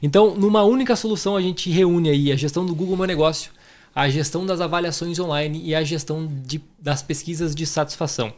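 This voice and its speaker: A man's voice: a little high, quick pace, darkish tone. A man with a southern Brazilian gaúcho accent, hosting a podcast in an effusive, informal way and speaking affectionately to listeners. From southern Brazil (Rio Grande do Sul). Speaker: podcast host, entrepreneur.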